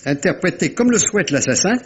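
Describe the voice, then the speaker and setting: An actor playing a shrewd, courteous, theatrical Belgian detective in a 1950s-style French radio mystery, with suave, teasing phrasing and a husky voice. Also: male voice, on the high side, very animated, a little fast, slight background noise. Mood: grave, knowing.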